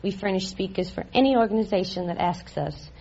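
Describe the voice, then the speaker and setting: A woman's voice: mid-range voice, a little fast, darkish tone, husky. A middle-aged American woman answering quiz show questions in an articulate, forthcoming way. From Staten Island, USA. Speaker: quiz contestant. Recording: muffled old radio recording.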